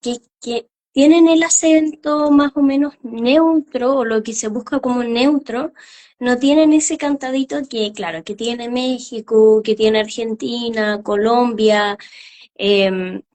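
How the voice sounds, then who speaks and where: A woman with a fairly high voice hosts a live stream and asks questions casually. She talks in a chatty, easygoing way and fills pauses with "eh" and "ujú". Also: steady tone. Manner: warm, chatty, uses fillers "eh", "ujú".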